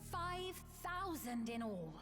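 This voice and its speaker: A high, soft-mannered young queen from a video game, benevolent and persuasive. She speaks formally and soothingly, with music playing clearly behind her. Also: big pitch swings, slow pace, exaggerated voice. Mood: grand, persuasive. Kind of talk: scripted video game voice acting.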